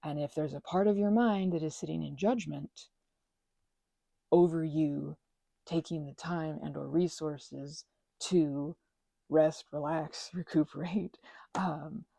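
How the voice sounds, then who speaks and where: A woman chatting gently in a meandering ASMR ramble, full of fillers and restarts, speaking affectionately to her listeners. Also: sits low, fairly even intonation, slow, creaky, no echo. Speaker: ASMR creator. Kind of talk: soft-spoken ASMR ramble while crafting.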